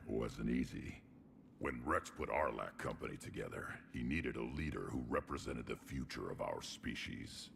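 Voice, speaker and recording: A man voicing a brash, proud young alien warrior commander in a video game, gruff and growling in blunt, clipped phrases. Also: extremely low pitch, expressive, unhurried, background noise, exaggerated voice. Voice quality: gravelly, growling.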